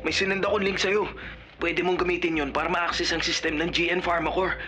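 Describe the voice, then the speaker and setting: High, bright, eager sidekick. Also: male voice, fairly even intonation, fairly quick, faint music behind. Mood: helpful, concerned. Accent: Filipino. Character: eager, teasing young male hacker sidekick. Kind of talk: Filipino radio drama acting.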